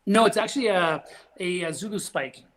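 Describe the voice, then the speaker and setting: A man's voice: high-pitched, normal speed, a bit bright. A man joining a casual podcast as a guest over a video call, telling stories, swearing casually and laughing along.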